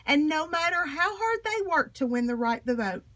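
A woman reading a children's picture book aloud with expressive, emphatic phrasing. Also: high voice, normal speed. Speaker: storybook reader.

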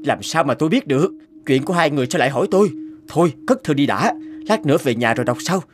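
Earnest young cartoon man, highish voice, acted by a man. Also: expressive, fast talker, natural voice. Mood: brisk. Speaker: voice actor in an animated moral tale. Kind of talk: dubbed cartoon dialogue. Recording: music behind the voice.

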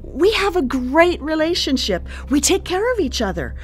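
An actress in a radio play playing a controlling, composed cannibal cook who dotes menacingly on family. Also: female voice, high voice, very animated, normal speed, soft background music. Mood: fervent, controlling. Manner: composed, coy, domineering. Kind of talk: live radio play acting.

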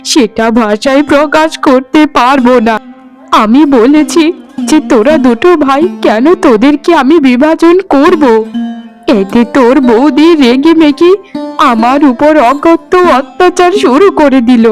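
A woman acts out an elderly village mother for a cartoon drama. The voice is high, plaintive and affectionate, like a wronged parent pleading with family, over soft plucked-string music. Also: measured pace. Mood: aggrieved, sorrowful. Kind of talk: Bengali cartoon drama dialogue.

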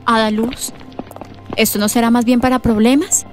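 A woman playing a gentle, thoughtful teenage schoolgirl in a radio drama. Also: on the high side, fairly even intonation, normal speed, soft background music, close to an everyday voice. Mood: worried.

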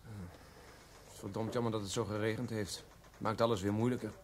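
An actor playing a grim, terse police investigator in radio play dialogue, brisk and matter-of-fact. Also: male voice, lowish voice, level tone, lightly acted. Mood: glum.

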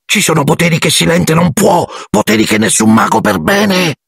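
A man voicing a small, anxious, devoted male house-elf for a storybook, squeaky and hoarse, servile and deferential. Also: lively intonation, measured pace, a bit bright, dry recording, studio quality, full character voice. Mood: urgent whisper. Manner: speaks of himself in third person, deferential.

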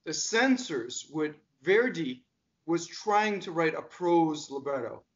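American guy in his 50s on a video call, kinda high voice, a bit muffled, keeps firing questions. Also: slow. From United States. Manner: energetic, gestures a lot, asks pointed questions. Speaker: diction coach. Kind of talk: casual video call discussion. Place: home music room. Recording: dull lo-fi call audio.

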